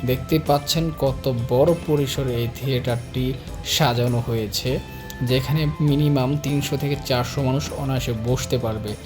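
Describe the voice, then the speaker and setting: A man with a Bangladeshi accent narrating a guided tour as a travel vlog host, explaining things directly to the viewers. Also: medium pitch. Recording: music laid behind the voice.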